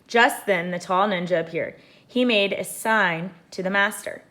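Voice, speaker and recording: A woman reading a children's book aloud like a teacher, in expressive scripted storybook narration. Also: mid-range voice, unhurried.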